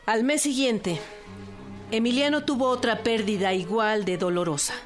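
A woman's voice: on the high side, normal speed. Woman narrator with faint music behind. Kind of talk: scripted documentary narration.

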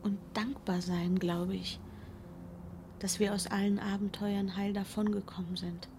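An actress playing a calm, thoughtful wife in a modern radio play, quiet and intimate, often near a whisper. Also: female voice, medium pitch, a little slow, background noise, natural voice. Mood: pensive, frank.

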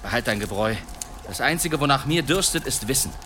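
Defiant demon hunter, mid-range voice, guarded and blunt, noisy ambient background. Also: male voice, medium pitch, expressive, a little fast, bright, forceful tone. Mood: wary. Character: wary, defiant demon hunter seeking knowledge. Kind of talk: radio drama acting. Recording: clear background noise.

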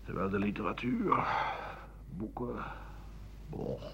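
Bitter old writer, scornful muttering, low pitch, radio drama, muffled noisy old recording. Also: male voice, wildly swooping pitch, slow, vocal fry, fairly bright tone, full character voice. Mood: wry, regretful. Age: older. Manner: sardonic, rambling, scornful, with muttered asides. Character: bitter, cynical old French doctor and writer. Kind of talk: radio play monologue in interview form.

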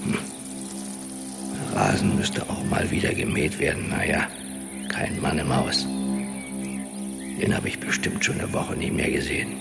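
Radio play casting: nosy old grumbler, gravelly and very low, muttering with disapproval. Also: male voice, a little slow, long pauses, over loud music, heavily acted. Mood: disapproving. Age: older. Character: grumbling, nosy old male neighbour watching from his balcony. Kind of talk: radio drama inner monologue.

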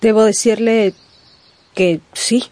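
Spirited young gentlewoman, fairly high voice, proud, earnest, self-possessed, radio drama. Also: fairly even intonation, takes it slowly, no echo. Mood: awkward surprise.

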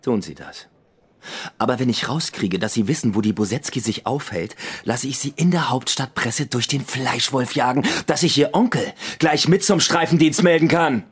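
An actor playing a menacing, sardonic police officer who presses hard with questions in a radio drama. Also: male voice, medium pitch, normal speed, breathy edge, close to an everyday voice. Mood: threatening.

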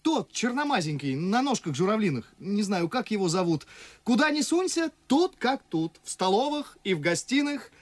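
A man's voice: sits high, big pitch swings, normal speed, few pauses. An actor playing an ardent, witty, mocking young man in love in a verse radio play, with theatrical delivery. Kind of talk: classic verse stage acting for radio. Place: radio studio.

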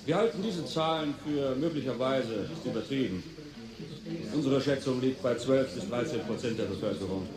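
A man's voice: mid-range voice, moderate pace, a crowd in the background, close to an everyday voice. Cold military spokesman in an echoey room played by an actor. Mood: cold, matter-of-fact. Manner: clinical, unfeeling. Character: cold, hawkish military high command spokesman. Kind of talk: radio play press briefing.

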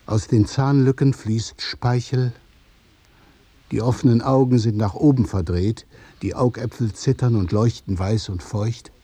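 A man narrating a literary radio play in measured, observational short phrases. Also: mid-range voice, fairly even intonation, mellow, husky, no room sound.

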